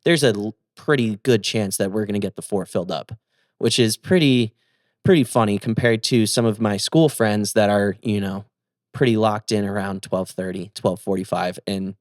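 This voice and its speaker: Young man, upbeat, lowish voice. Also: moderate pace, no room sound. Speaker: podcast co-host. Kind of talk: casual chat.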